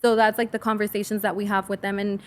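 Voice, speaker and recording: A woman's voice: mid-range voice, flat delivery, quick pace. An American woman who grew up speaking Spanish, talking candidly and with animation as a teacher in a casual interview. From San Fernando area, California. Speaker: high school teacher, podcast guest.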